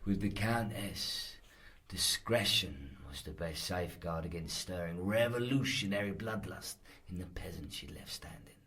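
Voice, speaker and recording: Lecherous castle guard, low voice, sardonic and earthy, gluttonous, horror radio play style. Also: male voice, pushed and bright. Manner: sardonic, earthy, drawling delivery. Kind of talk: audio horror drama acting.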